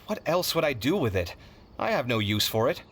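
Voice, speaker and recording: A man playing a proud, generous young man in an anime video game, casual and theatrically expressive. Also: mid-range voice, brisk, a little hiss or hum.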